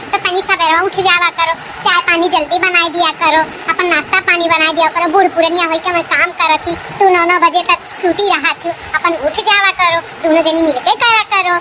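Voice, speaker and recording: A woman voicing plain-spoken village family women in a cartoon comedy, with a rustic Awadhi accent. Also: extremely high pitch. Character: high-voiced village women of a family cartoon: wife, mother, sister. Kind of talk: rural cartoon comedy dialogue. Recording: clearly noisy with outdoor ambience.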